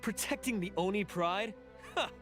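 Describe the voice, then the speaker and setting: A man's voice: high-pitched, normal speed, fairly bright tone, soft background music. An actor playing a bitter, resentful male oni outcast turned thief, speaking scornfully and dramatically in a video game. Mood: scornful, accusing.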